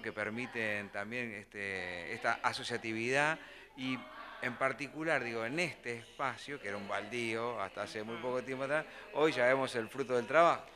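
A man with an Argentine accent interviewing conversationally for local TV news. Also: mid-range voice. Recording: echoey hall, voices in background.

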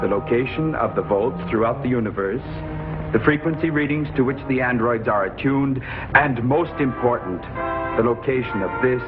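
An actor playing a grave, formal king in a 1950s television drama, speaking in measured phrases. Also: mid-range voice, natural voice. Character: solemn king addressing delegates of the planets. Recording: tinny, band-limited 1950s TV soundtrack.

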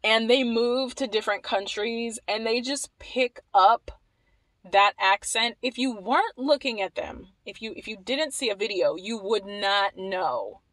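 A young woman from Maryland giving animated, wry commentary to the camera, repeating phrases for emphasis. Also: on the high side, a little slow, no echo. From Maryland, USA. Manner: animated, wry, repeats herself when emphasizing, laughs at points. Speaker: vlogger. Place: small indoor room against a plain wall.

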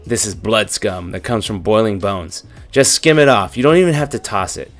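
A young man narrating his cooking vlog with deadpan, irreverent jokes. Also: lowish voice, moderate pace, soft background music. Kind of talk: joking cooking voice-over.

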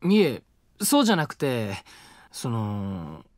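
A gentle, mature university student next door. He talks politely and calmly, with a lively lilt and a touch of teasing. The recording is a dry, close studio take. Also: mid-range voice, slow, no room sound. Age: 20s. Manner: soft, polite and calm, lightly teasing. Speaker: voice actor. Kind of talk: voice drama acting. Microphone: close studio mic.

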